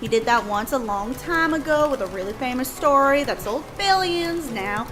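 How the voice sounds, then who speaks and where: A woman's voice: high-pitched, animated, normal speed. A woman playing a bubbly, chatty receptionist in an audio drama, rambling along.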